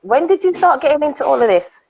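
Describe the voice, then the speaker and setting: A woman hosting a casual live-stream interview, chatty and excitable, greeting viewers by name. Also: sits high, quick pace. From United Kingdom. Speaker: host. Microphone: phone on a live video call. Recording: dull lo-fi live-stream audio.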